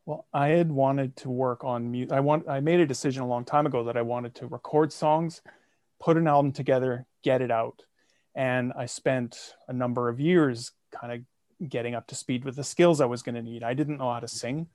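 A man guesting on a podcast, telling the story of his projects in a lively, self-mocking way. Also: medium pitch, normal speed, no echo, studio quality. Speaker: guest, comedy podcaster and songwriter. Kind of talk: casual interview.

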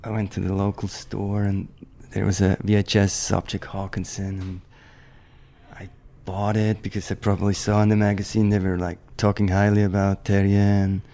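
Mellow low-voiced Swiss man. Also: moderate pace. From German-speaking Switzerland. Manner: relaxed, rambling, many restarts and "like". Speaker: guest, professional snowboarder. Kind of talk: casual interview chat.

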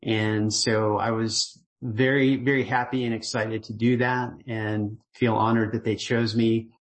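A middle-aged man, the writer of a newsletter, gives a casual voicemail-style update, relaxed and earnest, rambling with fillers and restarts. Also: fairly low pitch, unhurried, low-quality recording. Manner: grateful and earnest.

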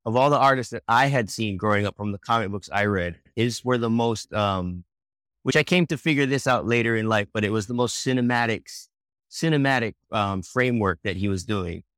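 Relaxed man with a low voice, dry sound. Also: fairly quick, no echo. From Hawaii. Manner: relaxed, easygoing, laughs often, restarts sentences. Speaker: guest, children's book author and illustrator. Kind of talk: casual interview conversation.

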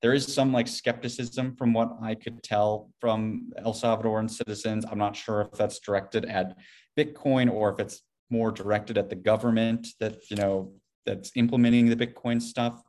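A man's voice: lowish voice, steady tone, moderate pace, darkish tone. A man speaking as a podcast guest in a casual interview, rambling a bit and often hedging with "you know". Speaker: podcast guest, Bitcoin company CEO.

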